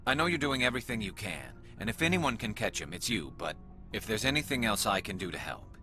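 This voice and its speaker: An actor playing a frustrated, earnest and intense alien soldier loyal to the crew in a video game. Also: male voice, lowish voice, sing-song, brisk, sharp tone, faint music behind. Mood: earnest, driven.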